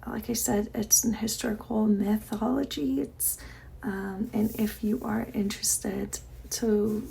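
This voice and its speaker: Soft-spoken woman with vocal fry. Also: mid-range voice, fairly even intonation, measured pace, rough recording. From Iran. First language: Persian. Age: 40s. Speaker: vlogger. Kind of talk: casual diary chat to camera.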